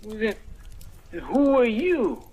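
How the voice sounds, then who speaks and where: Slow and high in pitch, a jealous, dim gunman from a vintage radio mystery comedy. He threatens deliberately, yet sounds gullible. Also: very animated, a little mellow, breathy edge, heavily acted. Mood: puzzled, gullible. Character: menacing, slow-witted jealous husband with a gun. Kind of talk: old-time radio mystery comedy acting. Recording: old radio recording with faint noise.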